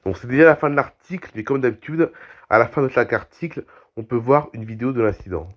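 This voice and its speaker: A man with a cold reads aviation news aloud, clearing his throat and correcting himself as he goes. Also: mid-range voice, fast, muffled recording. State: has a cold, coughing. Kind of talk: reading aviation news with commentary.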